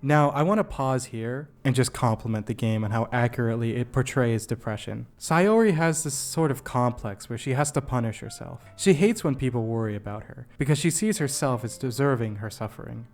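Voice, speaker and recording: A man's voice: medium pitch, expressive, fairly quick, few pauses, darkish tone. A young adult man narrating a scripted video essay, with a self-deprecating, jokey manner. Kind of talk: scripted narration with comedic asides. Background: background music.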